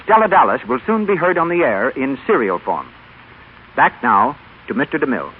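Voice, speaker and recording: A man's voice: mid-range voice, sing-song, moderate pace. A man working as a radio announcer, reading formal scripted credits in a 1930s style. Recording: tinny, noisy 1930s radio broadcast.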